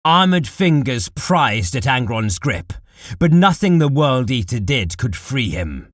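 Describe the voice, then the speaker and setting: A man narrating dark war fiction as a scripted audiobook, in a deliberate, dramatic manner. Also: mid-range voice, very animated, no echo, clean studio sound.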